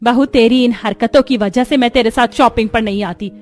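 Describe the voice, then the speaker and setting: A woman's voice: fairly high pitch, fairly even intonation, a little fast, darkish tone, close to an everyday voice. A woman voicing a stern, middle-aged mother-in-law in a cartoon moral story, emphatic and scolding.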